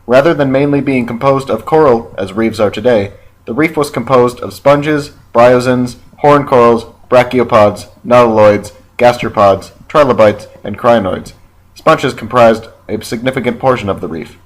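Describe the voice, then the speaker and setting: A man narrating an educational film from a script in a measured, factual, read-aloud way. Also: mid-range voice, rough recording.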